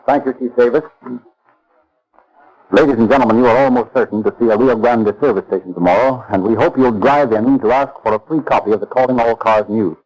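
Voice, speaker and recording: A man's voice: medium pitch, a little fast, a little mellow, low-quality recording. A man announcing a sponsor's advertisement in polished, emphatic 1930s radio style, on a vintage recording.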